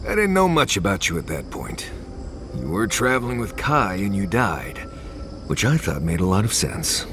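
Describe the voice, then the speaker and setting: A man's voice: fairly low pitch, wildly swooping pitch, normal speed, music in the background. A man voicing a dry, irreverent adventurer in a video game, wry and offhand. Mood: wry, amused.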